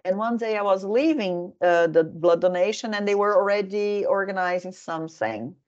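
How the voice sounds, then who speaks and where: A woman's voice: mid-range voice, moderate pace, darkish tone. Woman, host, casual talk, chatty and rambling, muffled lo-fi sound. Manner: chatty, digressive, many fillers. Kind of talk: casual discussion.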